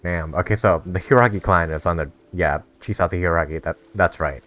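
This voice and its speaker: A man's voice: sits low, brisk, a bit bright. A man giving casual playthrough commentary on a game, hesitantly thinking aloud as he puzzles out the story. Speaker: gamer reacting to a game story. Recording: faint game music behind the voice.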